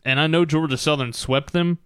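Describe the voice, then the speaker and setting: A man's voice: fairly high pitch, steady tone, fairly quick, clear, resonant tone, dry recording. A man hosting a sports talk show, delivering recaps fluently in short announcer-like phrases.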